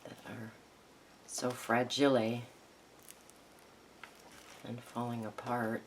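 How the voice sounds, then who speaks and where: A hoarse woman with a froggy voice hosting a casual crafting tutorial, chatting and thinking aloud with ums as she works. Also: rumbling low, little pitch movement, slow pace, a little hiss or hum. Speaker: craft video host.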